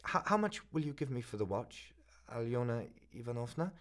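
Man reading a jittery, stammering young student, pitched up and anxious. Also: very animated, natural voice. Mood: nervous, pleading. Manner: stammering, hurried. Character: poor, nervous young male student, desperate.

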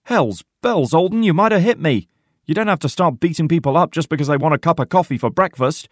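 A man's voice: medium pitch, expressive, fairly quick, no echo, studio quality, voice pushed up, natural voice. A man voicing a carefree, stubborn soldier in a war novel, with a careless, argumentative manner. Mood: stubborn, indignant. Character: cocky, carefree, stubborn young male soldier.

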